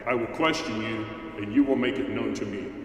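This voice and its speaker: A man's voice: lowish voice, a little fast, fairly bright tone, lightly acted. Man voicing God, male, stern, majestic, challenging, commanding, very echoey hall, distant. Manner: commanding, rhetorical questioning. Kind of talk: dramatized scripture reading. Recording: very echoey hall, distant mic, faint noise.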